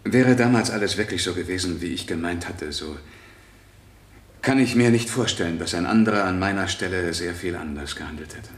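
Man acting nervous family guy on the witness stand, radio drama, halting, lowish voice, roomy echo. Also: lightly acted. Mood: troubled, subdued. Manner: hesitant, halting, searching for words. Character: anxious American husband and father on trial for shooting. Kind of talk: radio play courtroom testimony. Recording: echoey room, 1970 radio play.

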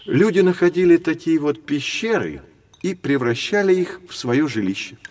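An actor playing a learned teacher who explains history to children in a storytelling manner, in an educational audio drama. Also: male voice, a little high, expressive, moderate pace, a little mellow, close to an everyday voice. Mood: explanatory.

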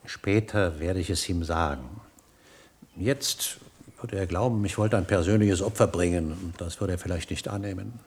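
An actor playing a confident, calculating scientist and physician in a German radio drama, brisk, clinical and assured. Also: male voice, sits low, darkish tone. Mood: dismissive, reassuring.

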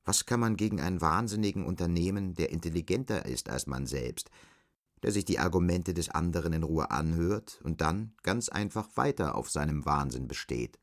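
Grave radio drama narrator, really low voice, measured storybook reading. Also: male voice, animated. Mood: brooding.

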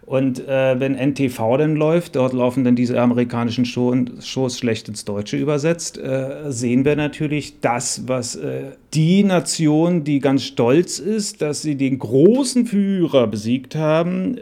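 A man's voice: mid-range voice, measured pace, few pauses, dark tone. A man from Germany giving casual, rambling, somewhat hesitant explanations as an interviewee. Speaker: interviewee on Berlin tunnel history.

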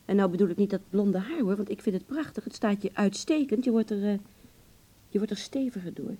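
Actress as a mellow-voiced, wry woman in love. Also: medium pitch, fairly quick, husky, natural voice. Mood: uneasy, tender. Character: wry, wounded young beautician in love, teasing and jealous. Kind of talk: Dutch radio play acting, intimate dialogue.

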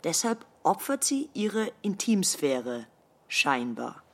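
A woman's voice: lowish voice, sing-song, slow, exaggerated voice. An actress in a German radio play playing an analytical, sceptical, outspoken woman with a dry, opinionated way of talking.

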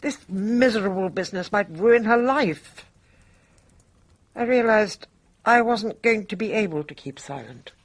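A husky, dignified older Englishwoman. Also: medium pitch, lively intonation, measured pace. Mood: resigned. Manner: composed, formal, grave. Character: dignified older woman, resolute, guilt-burdened bridge player. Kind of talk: radio drama acting.

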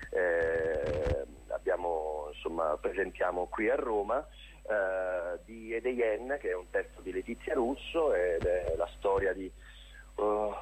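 A man giving a casual, unscripted interview as a theatre director, halting and often repeating his words. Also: low voice, slightly rough, low-quality recording. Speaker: theatre director, radio guest.

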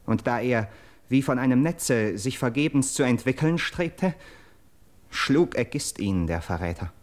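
An actor playing an earnest Greek captive in a classical verse radio drama, declaiming solemnly and dramatically. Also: male voice, mid-range voice, expressive, a little slow, darkish tone, close to an everyday voice. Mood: solemn, horrified. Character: earnest Greek captive bearing grim news of murder.

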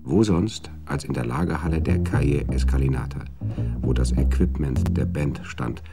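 A man narrating a radio drama in a measured, sober storytelling manner. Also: extremely low pitch, over loud music.

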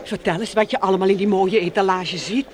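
A woman's voice: medium pitch, steady tone, quick pace, darkish tone, slight background noise, close to an everyday voice. An actress playing a hungry blind woman in a mob in a radio drama. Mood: coaxing.

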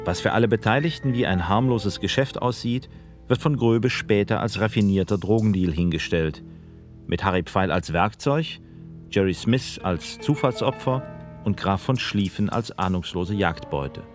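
A man narrating a documentary in a measured, slightly brooding way. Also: on the low side. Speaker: narrator and interviewer. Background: soft guitar music.

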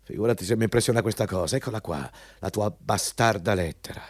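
An actor playing a bullying, scornful company recruiter in a radio drama, dismissive and contemptuous. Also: male voice, lowish voice, quick pace, breathy edge, dry recording, clean studio sound. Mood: contemptuous anger. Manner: scornful, dismissive, barking questions. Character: contemptuous, bullying male company recruiter, foul-mouthed anti-communist.

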